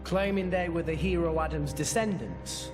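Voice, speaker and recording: Man as higher-voiced, downcast young hero. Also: normal speed, natural voice. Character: downcast teenage boy hero blaming himself. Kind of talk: game cutscene acting. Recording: long reverb, music behind.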